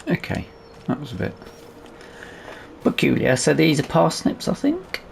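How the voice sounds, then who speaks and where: A man's voice: a little high, wildly swooping pitch, unhurried, creaky, slight background noise. A British man giving casual let's-play commentary, musing aloud to himself as he goes. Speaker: gaming video host.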